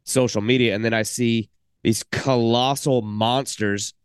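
A man's voice: medium pitch, steady tone, measured pace, smooth, dry recording. Enthusiastic male podcast host, dry mic. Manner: enthusiastic, rambling with restarts. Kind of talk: casual podcast interview.

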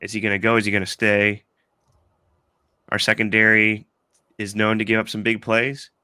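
A man's voice: on the low side, monotone, moderate pace, sharp tone, clear, resonant tone, no echo, studio quality. Congested man hosting a sports podcast. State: congested from allergies. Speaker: podcast host. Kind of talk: casual sports chat.

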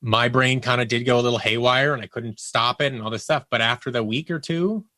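A man's voice: mid-range voice, brisk, pushed and bright, no room sound, studio quality. A man speaking as an interview guest, candidly telling personal stories in a conversational way and acting out quotes as he goes.

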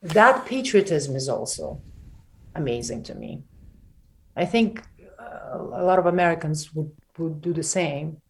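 A woman from Ukraine speaking as an interview guest, halting and emphatic with many restarts. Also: low-pitched, animated. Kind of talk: emotional conversational interview.

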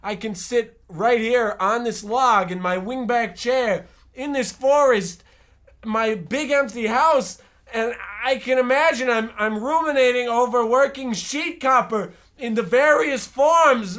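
Radio comedy role: man voicing imaginative young boy, very high-pitched, unhurried, meek and theatrical. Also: a bit bright, full character voice. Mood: dreamy. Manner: wordy, theatrical. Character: bookish imaginative eleven-year-old boy, meek and wordy. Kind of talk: parody of old-time radio drama.

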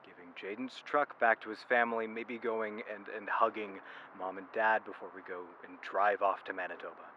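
A man's voice: on the low side, little pitch movement, moderate pace, muffled recording, a little hiss or hum, close to an everyday voice. An actor playing a weary young man in a supernatural mystery audio drama, caught up in a search for a missing girl. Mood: exasperated, concerned. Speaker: narrator and character in supernatural mystery podcast. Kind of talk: audio drama acting with reflective narration.

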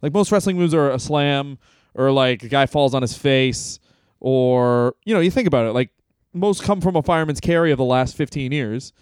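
A man's voice: medium pitch, moderate pace, a little mellow, clean tone, no room sound. A man co-hosting a comedy podcast, talking casually in a rambling way, full of "like.". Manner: rambling, heavy use of "like". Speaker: podcast co-host.

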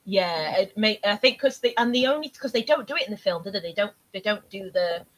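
A woman's voice: medium pitch, fairly quick. A woman in her thirties, from North East England, chatting casually as a podcast guest, swearing and full of ums. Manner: lively, swearing, many ums. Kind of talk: casual chat.

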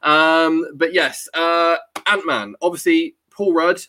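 A man's voice: fairly high pitch, fairly even intonation, slow, pushed and bright. A man hosting a casual chat about films, chatty and rambling, reading live comments as he goes. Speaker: podcast host.

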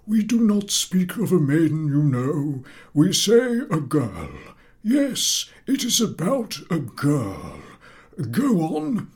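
A man's voice: fairly high pitch, wildly swooping pitch, darkish tone, slightly rough, voice pushed up, heavily acted. A man putting on a pompous old professor. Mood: coaxing. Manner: drawn-out, coaxing schoolmaster questioning. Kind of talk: audiobook character voice.

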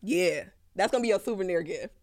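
A woman's voice: medium pitch, animated, fairly quick, a little mellow. A woman podcast host, chatting casually and teasing her guest with laughter and swearing. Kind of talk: casual chat.

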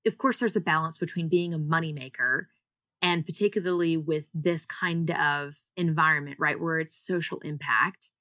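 A woman's voice: low voice, normal speed, pushed and bright, no room sound, studio quality. A woman from the US and Singapore, a founder giving explanatory, conversational answers as an interview guest. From United States and Singapore.

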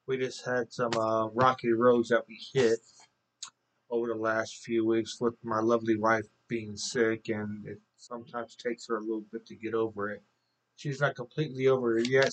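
A man co-hosting a faith podcast, talking casually and off the cuff in halting phrases. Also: medium pitch, a bit bright, slight background noise, rough recording. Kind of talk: casual unscripted talk.

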